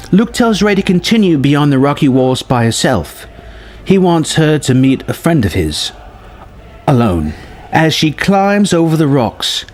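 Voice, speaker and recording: A man's voice: medium pitch, slight background noise. A man narrating a scripted story in a dramatic style. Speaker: narrator reading a film story treatment.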